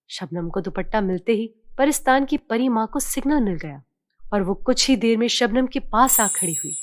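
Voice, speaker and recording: A woman reads a children's story as its narrator. Her voice is fairly high and very animated, recorded dry with no room sound. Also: no echo.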